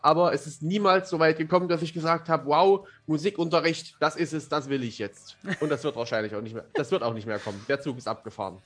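Chatty man with a higher-pitched voice. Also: fast, few pauses, fairly bright tone. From Germany. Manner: chatty, self-deprecating. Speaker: interview guest, fantasy author and amateur filmmaker. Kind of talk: casual podcast conversation.

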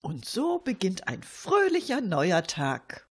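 A woman co-hosting a satirical cabaret radio show, with a husky voice and dry irony. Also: medium pitch, wildly swooping pitch, takes it slowly, background noise.

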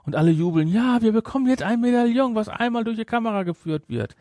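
A man's voice: very high-pitched, expressive, quick pace, warm tone, no echo, clean studio sound. A man hosting a podcast, chatting casually about a film with sarcastic, incredulous asides. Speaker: podcast host. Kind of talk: casual film discussion chat.

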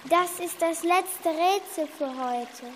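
A commanding magical sorceress, played by a child, speaks slowly and very high. Her radio-play voice rings in a long reverb with faint noise and water behind it. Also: a bit bright, heavily acted. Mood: commanding, wry.

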